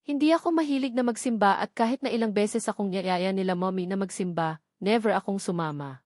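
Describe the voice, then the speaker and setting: A woman's voice: medium pitch, fairly quick, darkish tone, no room sound, clean studio sound. Filipino woman narrating a novel. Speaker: audiobook narrator. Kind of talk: scripted first-person novel narration.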